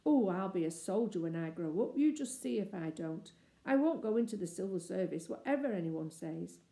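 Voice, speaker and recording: A woman voicing a bloodthirsty, brave young boy in a storybook, excited, boastful and commanding. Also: fairly low pitch, moderate pace, gravelly, reverberant. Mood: defiant.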